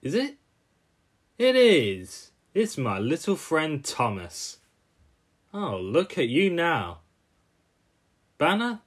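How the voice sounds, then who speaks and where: A man's voice: fairly high pitch, wildly swooping pitch, slow, long pauses, husky, voice pushed up, heavily acted. A man voicing a big cartoon crane, boisterous and teasing, full of exclamations. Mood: delighted surprise.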